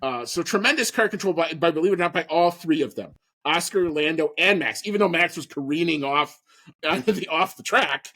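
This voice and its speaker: A man's voice: a little high, a bit bright. A man hosting a podcast, giving a casual, opinionated and emphatic recap of a sporting event. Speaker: podcast host.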